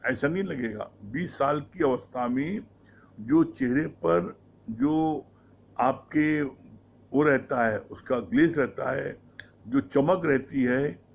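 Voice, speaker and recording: A man giving a devotional discourse on scripture. He explains slowly and keeps asking rhetorical questions, with English words mixed into his Hindi. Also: medium pitch. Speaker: satsang speaker.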